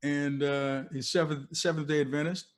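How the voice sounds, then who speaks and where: A man's voice: a little high, flat delivery, moderate pace. An older man, a veteran radio DJ, talking casually and reminiscing in an informal, conversational way as an interview guest. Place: video call from a cluttered room.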